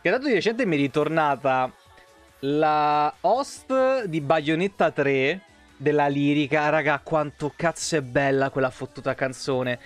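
A high-voiced man in his thirties streams from a room at home. He talks casually and rambles, with plenty of swearing. He sits close to the microphone, with faint music behind him. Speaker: game streamer. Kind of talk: casual live stream chat. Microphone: close desk microphone on an arm.

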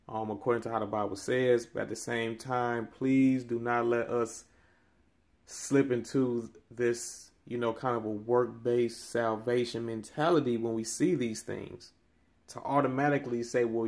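A man in his thirties giving earnest Bible-teaching commentary straight to camera, preacherly and building in force on key points, recorded on a phone held close in a small room. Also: a little mellow. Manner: preacherly, rising in pitch and force on key phrases. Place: small room indoors by window blinds.

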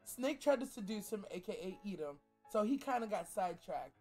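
A young man gives casual, joking commentary as a reaction host. His pitch is lively and fairly high, with faint music behind him. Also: brisk. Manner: animated, joking. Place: small furnished game room indoors.

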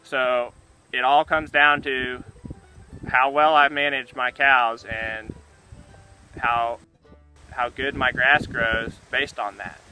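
A man's voice: mid-range voice, level tone, takes it slowly, pauses often, bright, forceful tone, soft background music, rough recording. A young man, a farmer, talking earnestly to the camera outdoors by a pasture, repeating words as he goes. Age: young adult. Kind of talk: casual talk to camera. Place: outdoors by a pasture fence under a tree.

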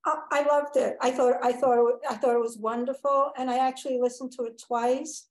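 A woman's voice: high voice, fairly even intonation, fairly quick, a little hiss or hum. A woman giving casual feedback as a listener over a roomy video call. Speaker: audience member giving feedback. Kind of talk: casual spoken reaction.

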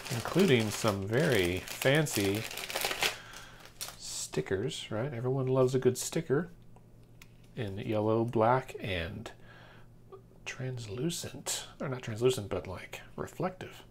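A man with an American accent talks through a product in an informal, think-aloud way. His voice sits at a medium pitch. There is background noise with small handling clicks around him. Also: expressive, slow pace, long pauses. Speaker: product reviewer. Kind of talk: casual unboxing commentary.

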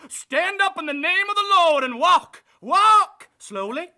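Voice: very high voice, expressive, a little slow, pushed and bright, full character voice. A performer voicing bossy, theatrical showman puppets in a parody, commanding like a preacher, sailor or talk-show host. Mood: zealous, bossy. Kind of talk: sock puppet parody acting.

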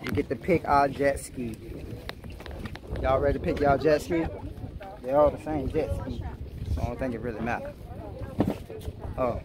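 A man's voice: medium pitch, fairly even intonation, moderate pace, pauses often. A man in his thirties, filming a casual vlog outdoors on open water with a handheld camera, hyping up his friends as he talks. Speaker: vlogger. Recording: loud wind and water noise.

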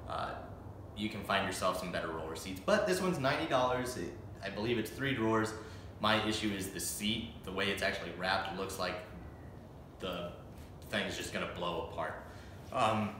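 A man's voice: sits low, a bit bright, slight background noise. A young man in his twenties giving a casual, opinionated tool review, with lots of ums and restarts, filmed standing in a small empty room of a house. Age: 20s. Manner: chatty, opinionated, many "um"s and restarts. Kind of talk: casual product review vlog. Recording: echoey bare room.

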